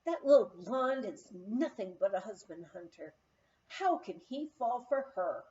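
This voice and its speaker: A woman reader voicing a jealous, sophisticated woman in her forties, confiding cattily in a hoarse, hushed voice. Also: medium pitch, expressive, a little slow, dull audio, close to an everyday voice. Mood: catty, indignant. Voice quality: hoarse whisper.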